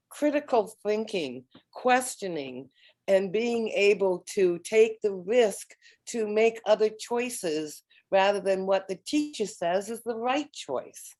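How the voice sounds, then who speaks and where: A woman panelist in an online round table, speaking haltingly with repeated words and many fillers. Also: mid-range voice, a little mellow. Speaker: educator and panelist. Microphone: video call.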